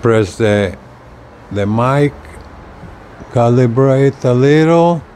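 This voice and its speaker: Slow-talking older man, outdoor noise. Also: mid-range voice, lively intonation, a little mellow. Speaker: video creator demonstrating radio gear. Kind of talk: casual hands-on product demonstration. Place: outdoors on a house porch.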